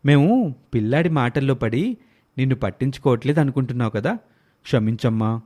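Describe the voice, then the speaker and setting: A man voicing an earnest, protective young father in an animated moral story. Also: mid-range voice, brisk, close to an everyday voice. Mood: apologetic. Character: protective, soft-hearted young father and husband.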